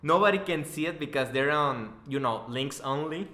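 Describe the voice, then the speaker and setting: A man's voice: fairly high pitch, expressive, normal speed, bright, forceful tone. A young man hosting a podcast as casual chat, joking and swearing informally. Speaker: podcast host, interviewer. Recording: echoey room.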